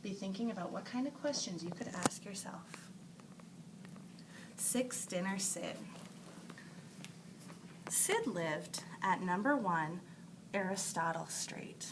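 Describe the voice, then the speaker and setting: A woman teacher reading a picture book aloud to young children in a deliberate, emphatic storytelling way, with asides to her pupils. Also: medium pitch, expressive, noisy background. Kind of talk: expressive picture-book read-aloud for second graders.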